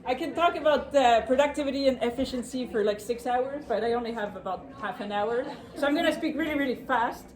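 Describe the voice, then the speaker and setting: A woman with a French accent gives a casual workshop talk, fairly high-pitched and brisk. She is chatty and self-deprecating and often laughs between points. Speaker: workshop presenter. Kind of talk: informal live presentation.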